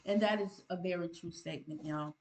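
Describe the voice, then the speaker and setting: A woman's voice: fairly low pitch, a little fast, a little mellow, rough recording. A woman, a content creator giving earnest motivational advice in a preachy, emphatic way, often repeating herself and restarting. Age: 50s.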